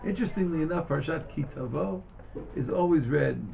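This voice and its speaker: A man giving an informal Torah class as a rabbi, in a halting, emphatic manner that often repeats words. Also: on the high side, creaky, warm tone, a little hiss or hum. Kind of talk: informal religious lesson.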